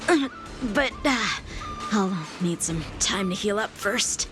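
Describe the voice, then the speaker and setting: A woman's voice: medium pitch, expressive, slow. A woman voicing a brash, proud boyish young swordsman in a video game cutscene, with a youthful, cocky manner. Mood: friendly, sheepish. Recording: music over the voice.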